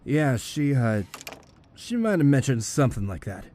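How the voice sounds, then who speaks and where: A man's voice: mid-range voice, sing-song, mellow, a little hiss or hum, lightly acted. Man as awkward, evasive young neighbourhood guy. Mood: surprised, casual. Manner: casual, halting, evasive. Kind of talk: dramatic audio drama acting.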